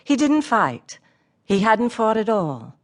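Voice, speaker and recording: A woman's voice: fairly high pitch, very animated, slow. An actress playing a shy, observant female poet who reads letters and verse aloud for radio theatre with dry, precise wit. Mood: intent, reflective.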